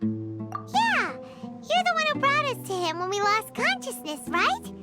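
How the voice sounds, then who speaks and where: A woman voicing a tiny, childlike fairy companion in a video game, perky, blunt and excitable, with a squeaky voice. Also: takes it slowly, heavily acted. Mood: chatty. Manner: perky, exclamatory. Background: music.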